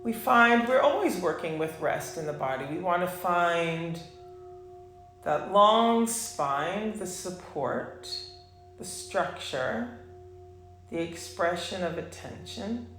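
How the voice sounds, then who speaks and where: A woman teaching a guided meditation class, speaking softly and unhurriedly with long pauses between phrases. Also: on the low side, lively intonation, echoey room, faint music behind.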